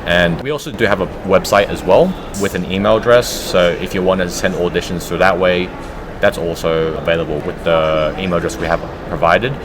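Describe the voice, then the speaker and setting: A man's voice: low voice, fairly even intonation, brisk, noisy background. A man giving conversational, explanatory answers as an audiobook producer being interviewed.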